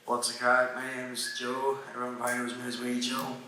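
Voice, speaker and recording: A young man with a Northern Irish accent, a rapper introducing himself on a panel in a loud, laid-back, slangy way. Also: medium pitch, monotone, a little fast, a little hiss or hum. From Northern Ireland. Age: young adult. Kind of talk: casual panel talk. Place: large hall at a conference. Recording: echoey hall, mic far off.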